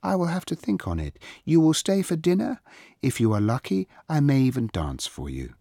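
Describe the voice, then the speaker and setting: Man as young princess, raised pitch, haughty, impassioned, proud, dramatic, audiobook character. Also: big pitch swings, normal speed, vocal fry, mellow, no echo, clean studio sound.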